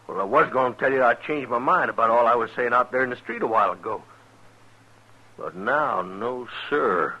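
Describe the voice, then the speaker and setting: An actor playing a shifty, defensive killer in a 1950s radio western, nervous, stammering and blustering. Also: male voice, mid-range voice, moderate pace, lightly acted. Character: shifty, defensive frontier murderer, grown man. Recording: muffled 1950s radio recording.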